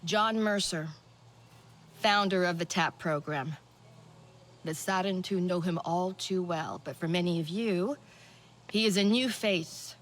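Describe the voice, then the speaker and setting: An actress as a sober resistance leader. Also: female voice, fairly low pitch, unhurried, long pauses, natural voice. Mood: grave. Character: sober, seasoned human resistance leader briefing her team. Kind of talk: cinematic video game dialogue.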